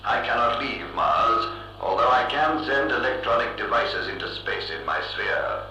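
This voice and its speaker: A cold, pompous electronic brain, piercingly sharp and heavily echoed. It speaks formally and without feeling, like a ruler in an old science-fiction radio play, with faint music behind. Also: male voice, medium pitch, expressive, muffled recording. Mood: commanding. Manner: emotionless, pompous, formal and deliberate. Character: cold, imperious giant thinking machine ruling Mars. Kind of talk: vintage science-fiction radio drama acting. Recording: echoing old reel-to-reel radio recording.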